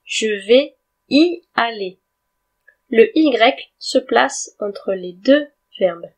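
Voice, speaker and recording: Woman teaching grammar, slightly high voice, slow and careful, spells stuff out letter by letter. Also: expressive. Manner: clear, deliberate, spells out words letter by letter. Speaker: French teacher. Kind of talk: slow scripted grammar lesson.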